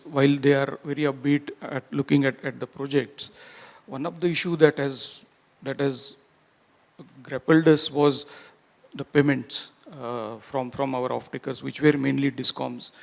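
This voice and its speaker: Gravelly panel moderator. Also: male voice, mid-range voice. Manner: halting, polite moderating with repeats. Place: conference hall.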